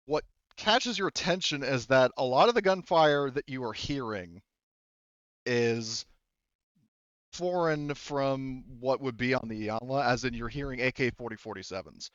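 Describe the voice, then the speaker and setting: A man runs a tabletop roleplaying game as game master. He talks informally and haltingly, often restarting his sentences as he explains the scene. The recording is close and dry, slightly dull on top. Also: mid-range voice, a bit bright, smooth, no echo.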